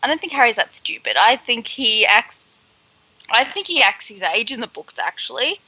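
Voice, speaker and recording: A woman's voice: on the high side, very animated, moderate pace, pushed and bright, breathy edge, no echo, low-quality recording. A woman co-hosting a podcast, casually recapping a play in a slangy, sweary, opinionated way. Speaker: podcast co-host.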